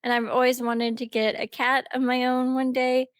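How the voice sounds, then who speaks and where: A young woman who is a little sick, gently narrating her art process in a chatty, upbeat way. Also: high voice, flat delivery, moderate pace, dry recording, studio quality. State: slightly sick. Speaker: art vlogger. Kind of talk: relaxed art tutorial narration.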